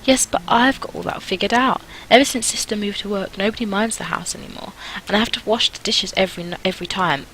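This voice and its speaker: A woman's voice: medium pitch, quick pace, few pauses, a bit bright, slight background noise, pitched above the performer's own voice, lightly acted, low-quality recording. A woman voicing an eager, chattering young girl who pleads earnestly in a storybook reading. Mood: reasoning, eager. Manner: earnest, wheedling, talkative. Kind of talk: amateur audiobook character reading.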